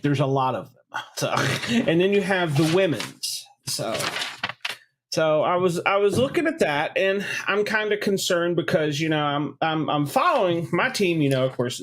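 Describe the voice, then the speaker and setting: Man, slightly high voice, congested, rambling, casual co-host chat. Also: expressive, measured pace, background noise. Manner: rambling, repeats filler words. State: congested from a cold.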